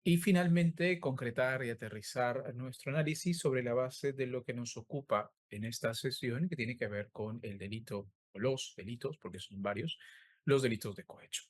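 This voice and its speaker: A man's voice: mid-range voice, moderate pace. A man giving an online law lecture over a video call, speaking with a sore throat and many hesitant filler sounds.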